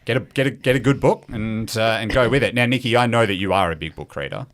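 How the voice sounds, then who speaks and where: A man's voice: lowish voice, fast talker, fairly bright tone. A man with an Australian accent hosting a quiz with casual, chatty banter. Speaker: quiz show host.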